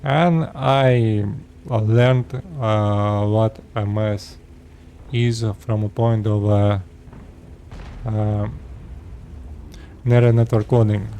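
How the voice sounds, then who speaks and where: A 36-year-old man from Russia with a Russian accent, speaking halting second-language English as he talks casually to his viewers. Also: on the low side, steady tone, warm tone, a little hiss or hum. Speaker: vlogger. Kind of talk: casual personal talk to camera.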